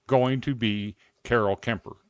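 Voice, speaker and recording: A man's voice: mid-range voice, fairly even intonation, unhurried, no room sound. A man in his fifties with an American accent, telling stories in casual sports talk as a show guest. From Iowa, United States. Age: 50s.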